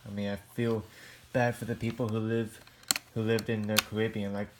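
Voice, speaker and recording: A man's voice: lowish voice, little pitch movement, moderate pace, very noisy. A man casually reviewing gadgets for a hobby video in a hesitant, rambling, plain-spoken way. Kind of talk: casual product review to camera.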